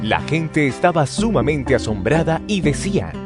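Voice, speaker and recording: A man's voice: a little high, sing-song, moderate pace, loud music behind the voice. A man narrating scripture from a script for an audio drama.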